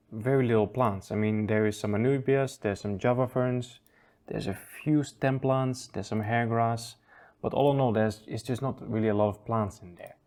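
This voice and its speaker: A man's voice: medium pitch, darkish tone. A man hosting a casual review show, easygoing and chuckling often as he trails off and restarts sentences. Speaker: aquascaping channel host.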